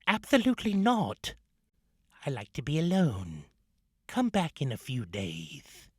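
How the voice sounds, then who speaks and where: An actor playing a sly, menacing, solitary snake in an audio drama, speaking in a hushed, breathy, sinister and teasing way. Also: medium pitch, wildly swooping pitch, unhurried, no echo, studio quality, full character voice. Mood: curt, dismissive.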